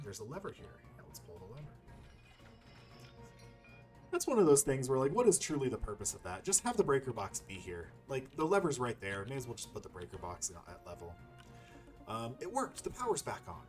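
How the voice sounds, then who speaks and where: A man doing casual let's-play commentary, thinking aloud in a rambling, playful and sometimes profane way. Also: on the low side. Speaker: gaming YouTuber playing and commenting.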